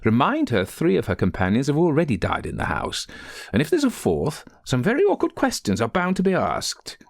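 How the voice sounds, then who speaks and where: Storybook role: indignant, insistent rector's wife performed by a man, very animated and raised in pitch, dry close sound. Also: a little slow, creaky, no room sound, full character voice. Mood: forceful urging. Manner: emphatic, goading, insistent. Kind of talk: audiobook character voice.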